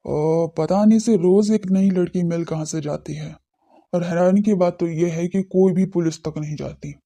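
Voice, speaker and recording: महिला की आवाज़ में दबा, भारी-सा चिंतित युवक. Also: female voice, on the low side, fairly even intonation, normal speed, dark tone, pitched below the performer's own voice. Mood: brooding, puzzled. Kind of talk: audio drama character dialogue.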